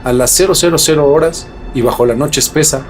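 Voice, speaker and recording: A man hosts a podcast, reading scripted mystery narration with dramatic, deliberate phrasing. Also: a little high, soft background music. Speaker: podcast host.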